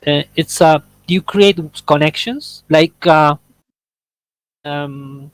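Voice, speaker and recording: A man's voice: on the high side, takes it slowly, no echo. Upbeat Spanish-native man leading practice. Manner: eager explainer, builds points with everyday examples. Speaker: workshop leader. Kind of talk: casual conversation practice in English.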